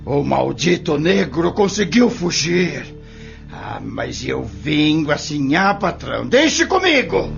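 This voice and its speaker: An actor playing a sadistic, menacing plantation overseer with a sneering drawl in an audio drama. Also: male voice, on the high side, sing-song, slow, vocal fry, heavily acted. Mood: eager, scheming. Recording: dull, lo-fi recording with music.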